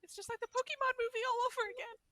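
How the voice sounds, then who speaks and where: A woman's voice: extremely high pitch, little pitch movement, racing, pushed and bright. A woman co-hosting a podcast, chipping in with casual remarks. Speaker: podcast co-host. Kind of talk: casual chat.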